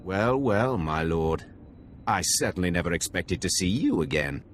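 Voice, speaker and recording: Sneering, cruel slave overseer. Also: male voice, low voice, lively intonation, normal speed, a little hiss or hum. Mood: sardonic. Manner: sneering, scornful. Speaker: Sith academy overseer character. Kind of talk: video game cutscene acting.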